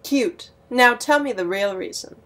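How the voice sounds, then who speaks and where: A woman's voice: fairly high pitch, lively intonation, measured pace, fairly bright tone. A woman playing a devilish, bossy young schemer in an audio drama, with a dry, blunt, sardonic way of talking. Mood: dry, skeptical.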